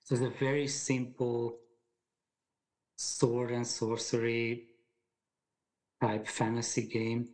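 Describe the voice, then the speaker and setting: A man in his forties, speaking as a guest in a relaxed podcast chat. He talks in a thoughtful, halting way, with many fillers and restarts as he gathers his thoughts. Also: medium pitch, poor audio. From Hungary. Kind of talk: casual conversation.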